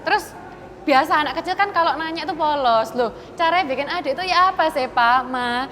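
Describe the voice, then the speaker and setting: A woman's voice: high voice, brisk, a bit bright, a little hiss or hum. A woman in her twenties with a Surabaya Javanese accent, chatting and telling stories as a talk show guest. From Surabaya, East Java, Indonesia. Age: 20s. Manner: chatty storyteller, laughs easily. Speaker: guest, TikTok creator. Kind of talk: casual talk show chat.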